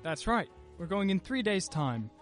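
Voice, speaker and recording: An actor playing a troubled, irritable well-to-do husband in a radio play, clipped and indignant. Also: male voice, sits high, sing-song, moderate pace, soft background music. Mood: polite.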